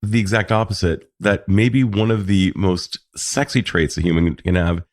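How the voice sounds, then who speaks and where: A man's voice: deep voice, fairly even intonation, normal speed. A man hosting an interview podcast, reflecting on the guest's ideas in a thoughtful, articulate way with some clinical terms. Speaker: podcast host, psychologist.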